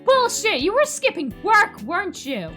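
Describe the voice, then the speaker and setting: A woman voicing a brash, bossy female shop clerk who scolds loudly, in a visual novel. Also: very high-pitched, huge pitch swings, measured pace, faint music behind, pitched above the performer's own voice, full character voice. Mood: angry, scolding. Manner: loud, scolding, swearing.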